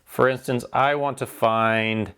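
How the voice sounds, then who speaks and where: A man reviews a product in a casual, hands-on style. He speaks in an informal, plain-spoken way, explaining features step by step at a middling pitch. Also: fairly even intonation, slow, fairly bright tone, smooth.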